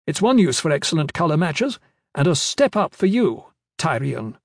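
A man voicing an imperious, sharp-tongued old woman magister who scolds everyone around her. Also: very animated, normal speed, no echo, studio quality. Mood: scornful. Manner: scolding, shrill.

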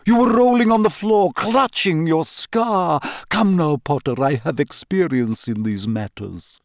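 A man's voice: on the high side, lively intonation, measured pace, dry recording, studio quality, pitched above the performer's own voice. A man voicing a dramatic, mystical woman divination teacher in a storybook, with a theatrical, eager, portentous manner. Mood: excited, eager.

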